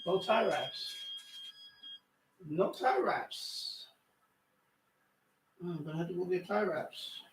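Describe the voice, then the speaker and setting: A man with a rough, gravelly voice chatting casually on a livestream, repeating himself and muttering as he tinkers. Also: sits high, animated, slow pace, pauses often, a little hiss or hum, rough recording. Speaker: live streamer, radio hobbyist. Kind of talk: casual rambling livestream chat.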